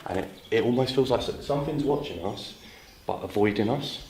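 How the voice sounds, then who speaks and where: A man's voice: mid-range voice, a little fast. A man hosting a paranormal investigation, talking casually as he explores and calls out questions. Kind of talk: casual on-location ghost-hunt commentary, calling out questions. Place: outdoors in a rural spot. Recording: noisy outdoor location sound. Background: birds and outdoor ambience.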